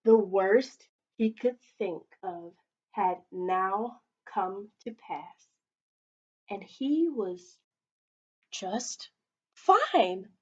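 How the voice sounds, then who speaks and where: A woman's voice: mid-range voice, expressive, extremely slow, pauses often, husky, muffled recording. A young woman reading a picture book aloud like a teacher, dramatically stretching out words and putting questions to children. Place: small indoor playroom.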